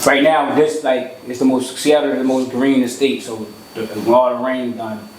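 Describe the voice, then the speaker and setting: Male guest, Baltimore accent, rapid casual slang, slightly high pitch, recorded with heavy echo and faint noise. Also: little pitch movement, a little mellow. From Baltimore, United States. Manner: fast-talking, slangy. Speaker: podcast guest, comedian. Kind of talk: casual interview chat. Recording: echoey room, background noise.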